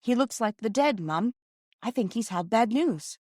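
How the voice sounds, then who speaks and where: A woman's voice: a little high, lively intonation, unhurried, a little mellow, dry recording, clean studio sound, voice pushed up. A woman voicing a blunt, dramatic young parlour maid in a storybook, blurting out her words in uneducated servant speech. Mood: alarmed, blurting. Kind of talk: storybook character voice.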